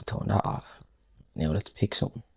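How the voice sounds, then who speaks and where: A man's voice: fairly low pitch, lively intonation, normal speed, vocal fry, husky. A man presenting a casual music production tutorial, thinking aloud in an informal, muttering way as he works. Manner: informal, muttering to himself while working. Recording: electronic house music playing loudly. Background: loud electronic house music.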